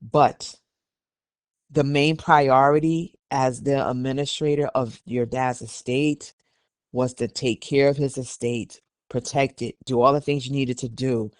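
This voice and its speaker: A man's voice: mid-range voice, fairly even intonation, a little slow, clean tone, no echo. A man hosting a podcast, reading documents aloud and giving opinionated, conversational commentary. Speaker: podcast host.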